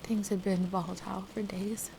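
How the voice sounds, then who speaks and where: A woman acting in an intimate ASMR roleplay drama as a distressed, defensive woman who wants to leave her partner, speaking in a halting, emotional way. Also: mid-range voice, little pitch movement, creaky, noisy background, natural voice. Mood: weary, subdued. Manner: halting, emotional, pleading conversational delivery. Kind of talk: intimate ASMR roleplay audio drama, one side of a conversation.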